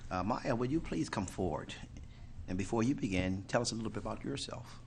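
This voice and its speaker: A man's voice: on the low side, animated, creaky, a little hiss or hum. A man chairing a school board meeting, formal and courteous as he introduces speakers at a podium microphone. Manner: courteous, measured, with polite introductions.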